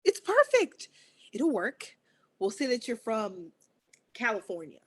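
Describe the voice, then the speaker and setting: A woman's voice: fairly high pitch, wildly swooping pitch, takes it slowly, husky, full character voice. A woman reading the part of a scheming teenage girl who begs her friend for a favor, at a table read. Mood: pleading.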